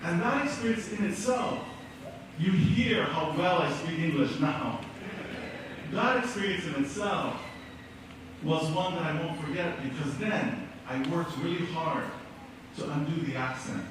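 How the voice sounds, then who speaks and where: An earnest middle-aged man delivers a measured speech in a school auditorium, his fairly high voice carried from a distance. The hall rings with heavy echo and a steady hum of room noise. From American Samoa. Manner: measured, earnest storytelling with pauses. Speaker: keynote speaker. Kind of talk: prepared speech. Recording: echoey hall from far off.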